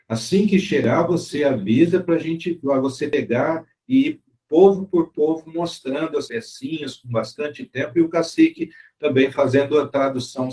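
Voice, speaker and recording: A man's voice: mid-range voice, darkish tone, poor audio. A man hosting a video-call interview, courteous and formal, closing with blessings. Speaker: interview host.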